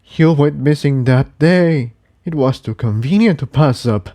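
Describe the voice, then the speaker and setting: Man acting a slow, weary old pony elder. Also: on the high side, mellow, voice pushed up. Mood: solemn. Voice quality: quavering. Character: elderly male pony ruler, weary and grave.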